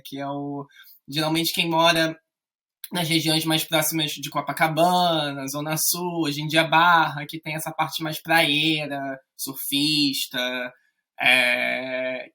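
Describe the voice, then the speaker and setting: A man from Rio de Janeiro with a Carioca accent, chatting as a podcast guest in a relaxed, rambling way with frequent fillers. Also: on the high side. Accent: Carioca Brazilian Portuguese. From Rio de Janeiro, Brazil. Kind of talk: casual conversation.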